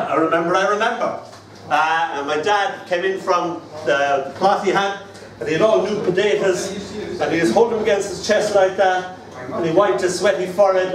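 An older man with an Irish accent, hosting a poetry open mic with theatrical, rambling storytelling. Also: sits high. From Ireland. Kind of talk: live storytelling and poetry performance. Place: small indoor venue stage. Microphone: far camera. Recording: roomy, noisy, distant camera recording.

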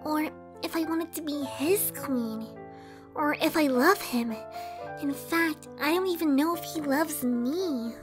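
Young cartoon pony queen, played by a woman: high, soft-hearted, hesitant and melancholy. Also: slow pace, over music. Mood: sad. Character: gentle, sad young pony mare made queen. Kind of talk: animated fan drama acting.

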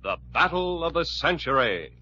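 A man's voice: fairly high pitch, sing-song, normal speed, muffled recording. An American man announcing and narrating a scripted radio drama in 1950s style. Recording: old radio broadcast, loud music behind. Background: music.

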